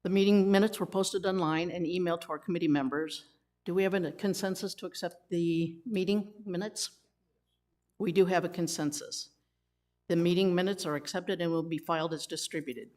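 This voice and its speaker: A woman with a slightly low voice chairs a community committee meeting. She reads formal procedures aloud in an even, businesslike way. She drops in teasing jokes for the room. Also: normal speed.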